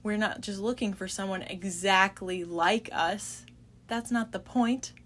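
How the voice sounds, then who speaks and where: A woman's voice: mid-range voice, unhurried. Earnest woman co-hosting a podcast. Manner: steady, earnest, sums things up. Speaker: podcast co-host. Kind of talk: casual faith chat.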